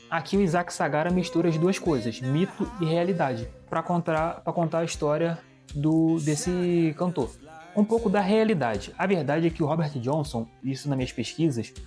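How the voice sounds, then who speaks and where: A man's voice: fairly high pitch, normal speed, a little mellow, soft background music. A man with a Brazilian Portuguese accent, casually reviewing a comic book and often restarting his sentences. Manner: chatty, restarts sentences, shows pages to camera.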